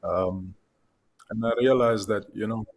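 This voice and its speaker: A man's voice: on the low side, fairly even intonation, measured pace, gravelly. A young man from South Africa, a guest on a podcast interview, talking with many fillers and restarts. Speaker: guest, content creator.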